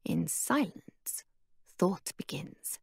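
A woman voicing a calm, cryptic old woman who speaks in a low, hushed, measured way. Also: creaky, no echo, studio quality, exaggerated voice.

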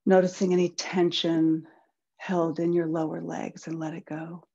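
A woman leading a guided body-scan meditation in a soft, breathy voice, gentle and soothing, with unhurried pauses. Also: lowish voice, little pitch movement, dull audio. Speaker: guided relaxation instructor.

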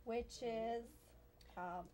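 A woman's voice: on the high side, extremely slow, warm tone, slight background noise. A woman hosting a studio talk show, introducing a guest in a bubbly way with frequent ums. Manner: bubbly, says "um" a lot. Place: large television studio set.

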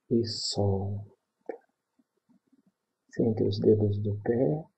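A man with a Brazilian accent leading a guided sleep meditation, calm and unhurried, pausing between short phrases in a husky, breathy voice. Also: medium pitch. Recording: dull, muffled lo-fi recording.

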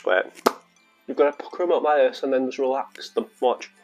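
A man's voice: mid-range voice, fairly quick. Young northern english guy, vlogger, playful chatty rambling, lapel mic, faint music behind. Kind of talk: casual chat to camera. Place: small room at home, later outdoors in a park.

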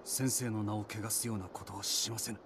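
An actor playing a stern, dutiful young samurai in a game, with terse, solemn, archaic warrior speech. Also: male voice, lowish voice, steady tone, moderate pace, very noisy, close to an everyday voice. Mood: resolute. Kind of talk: period-drama game voice acting.

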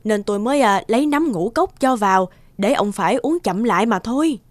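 A woman's voice: a little high, animated, a little fast, no echo, close to an everyday voice. A woman voicing a hearty, insistent and grateful village housewife in a folk-tale cartoon. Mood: earnest, explaining.

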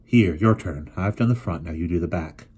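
Man reading a quiet wry boy, low husky voice, understated. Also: moderate pace. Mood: dry. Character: quiet boy, dry-humoured younger brother.

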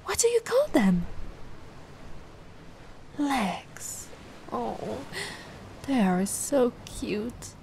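A woman voicing a giant, sweet and curious young mermaid in an ASMR roleplay, gushing and doting in a gentle, cooing way. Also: fairly high pitch, huge pitch swings, extremely slow, long pauses, full character voice. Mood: adoring. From Italy. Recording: noticeable ambient background noise.

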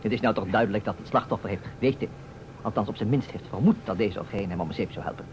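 A man's voice: fairly low pitch, quick pace, close to an everyday voice. An actor playing a playful, insistent man who stages a detective puzzle in a radio play, with a teasing, emphatic manner. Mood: theatrical. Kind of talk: Dutch radio play acting. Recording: dull, noisy old radio recording.

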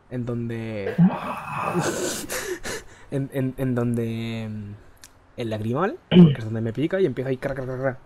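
A man from Spain chatting while he plays, rambling and crude, keeps clearing his throat, speaking into a headset mic. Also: mid-range voice, dark tone. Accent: Spain Spanish. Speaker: gaming streamer. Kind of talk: casual gameplay chat. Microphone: headset mic close to the face.

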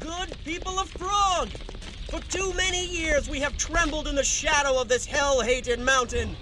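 Very high-pitched declaiming prince. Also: male voice, normal speed, over music, rough recording. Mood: rousing, defiant. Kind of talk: archaic oratory, video game acting.

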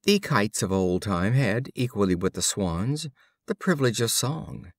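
A man reading fables as scripted audiobook storytelling, with measured, deliberate phrasing. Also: on the low side, lively intonation, no echo, clean studio sound. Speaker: audiobook reader.

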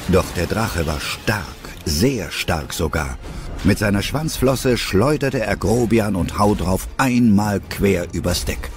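A man's voice: low voice, animated, slow pace, soft background music. A man narrating a scripted children's adventure story as its narrator.

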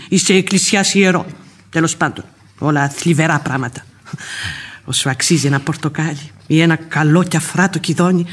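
Husky, outspoken old housekeeper played by an actress. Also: female voice, on the low side, lightly acted. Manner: chatty, folksy, talking back with proverbs. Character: outspoken, grumbling Spanish village housekeeper, old nanny. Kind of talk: Greek radio theatre acting.

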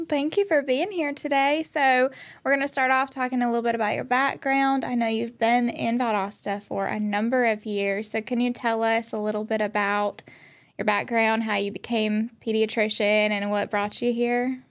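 A young woman with a fairly high voice co-hosts a podcast. She introduces things and asks questions in a conversational interviewing style. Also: fairly even intonation, normal speed. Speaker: podcast co-host. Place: small indoor podcast studio. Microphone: boom-arm podcast microphone.

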